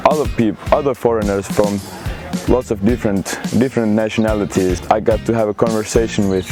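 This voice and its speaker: A man's voice: on the low side, steady tone, fairly quick. A young man from Finland giving a testimonial as an exchange student. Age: young adult. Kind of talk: interview testimonial. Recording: music playing behind the voice. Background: music.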